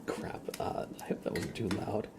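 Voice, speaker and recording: A man hosting an ASMR stream, speaking softly and often in a whisper, gently and casually as if chatting with viewers. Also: moderate pace, very noisy. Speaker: ASMR livestream host. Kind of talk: ASMR, soft-spoken and whispered.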